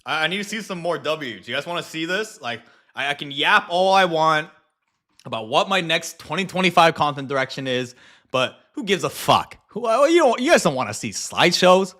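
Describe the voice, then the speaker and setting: A man's voice: on the high side, a little fast. A young man hosting a video, talking casually with swearing, then narrating a documentary intro. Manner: animated, laughs often, swears casually. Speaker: YouTube host.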